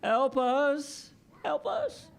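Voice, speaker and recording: A man's voice: extremely high pitch, sing-song, very slow, creaky, heavily acted. A man playing a worried, eager little toy train in a children's audio theater show. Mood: worried, pleading. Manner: chanting train sounds, repeating cries for help.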